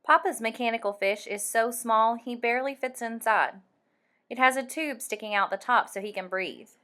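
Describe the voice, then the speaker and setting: A woman reading a picture book aloud for young children, animated and deliberate, acting out the sound effects. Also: fairly high pitch. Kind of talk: expressive read-aloud storytelling for young children.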